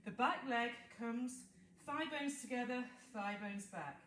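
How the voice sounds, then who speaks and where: A woman's voice: fairly high pitch, unhurried, fairly bright tone, recorded from a distance. A British woman Pilates instructor, congested from hay fever, counting reps and cueing movements while demonstrating. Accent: British English. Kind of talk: guided exercise class instruction. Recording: echoey room, voice from afar, faint hiss.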